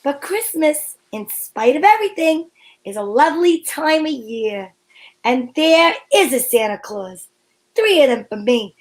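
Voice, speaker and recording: A breezy female private eye acted out by a woman narrates her case in old-time radio detective style. Her voice sits high, and her telling is wry, playful and a little theatrical. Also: big pitch swings, unhurried. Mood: playful, fond.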